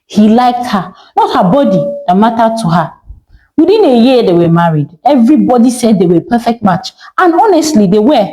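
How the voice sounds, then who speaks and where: A woman's voice: on the high side, big pitch swings, fairly quick, dark tone, low-quality recording. A woman with a Nigerian accent narrating a scripted story in a theatrical way, with emphatic short phrases and rhetorical questions.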